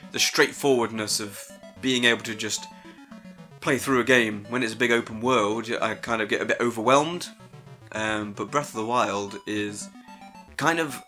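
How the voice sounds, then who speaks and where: A man's voice: medium pitch, bright, forceful tone. A man hosting a casual, unscripted gaming audio log, rambling with hesitant but enthusiastic, informal, sweary delivery.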